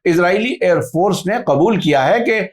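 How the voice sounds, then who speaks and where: A man presenting scripted news in a formal, measured delivery. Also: sits high, lively intonation.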